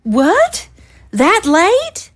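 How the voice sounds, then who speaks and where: High-voiced, anxious young-sounding wife, acted by a woman. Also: wildly swooping pitch, very slow, full character voice. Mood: distressed. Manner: worried, emotional. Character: anxious, pleading wife of a missing president. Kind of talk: audio drama acting.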